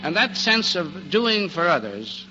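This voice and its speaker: A man narrating a 1940s radio drama in a formal, measured storytelling manner. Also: sits high, big pitch swings, bright, forceful tone. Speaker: narrator and announcer. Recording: dull old radio recording. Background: music.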